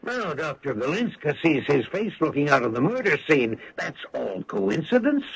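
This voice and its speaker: A man's voice: fairly high pitch, very animated, moderate pace, creaky, breathy edge, full character voice. A man playing a suspicious American prosecutor in an old radio drama, with an insistent, argumentative manner. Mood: insistent, accusing. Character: pushy, suspicious male prosecutor hunting a killer. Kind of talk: 1980s radio drama acting. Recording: dull, band-limited old radio broadcast.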